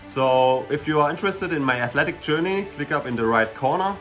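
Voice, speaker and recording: A young man from Germany with a German accent, a sprinter and hurdler answering viewer questions in a relaxed, chatty way, full of "you know" and "like". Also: on the high side, fairly quick, faint music behind. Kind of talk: casual Q&A vlog.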